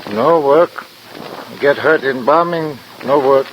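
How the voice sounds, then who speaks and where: An actor playing a wary fifty-year-old prisoner in a radio drama, speaking broken English in short blunt phrases. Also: male voice, mid-range voice, slow, dull audio, close to an everyday voice. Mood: wary, weary. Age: 50s. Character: wizened fifty-year-old male prisoner, poor petty thief, protesting. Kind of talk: 1950s radio drama acting. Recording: noisy old radio recording.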